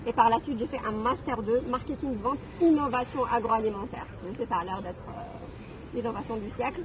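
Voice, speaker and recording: A woman's voice: on the high side, brisk. A woman in her thirties from Algeria, telling her story in a casual interview with frequent fillers. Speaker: guest. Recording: noisy background.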